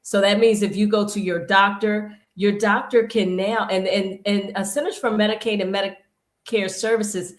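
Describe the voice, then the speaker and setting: Brief: woman guest, fitness pro, rambling with many restarts, middle pitch, echoey room. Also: steady tone, moderate pace. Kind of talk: live-stream conversation.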